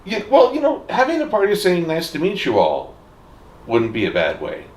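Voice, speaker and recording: A man's voice: on the high side, very animated, moderate pace, distant mic. A man co-hosting a fan discussion show with playful, teasing, theatrical banter. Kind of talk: casual joking banter. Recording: echoey room, off the mic.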